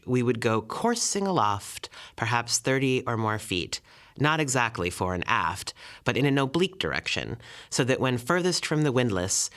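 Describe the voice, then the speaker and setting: A man reading an old memoir aloud as scripted literary narration, in a measured, formal way. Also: medium pitch, fairly bright tone.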